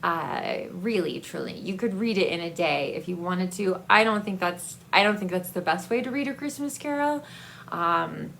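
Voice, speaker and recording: A woman book vlogger talks casually and haltingly, with a noticeable creak in her voice. She rambles and pauses often as she feels for her words. There is faint room noise behind her. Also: on the low side, lively intonation, fairly bright tone. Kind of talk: casual book review chat to camera.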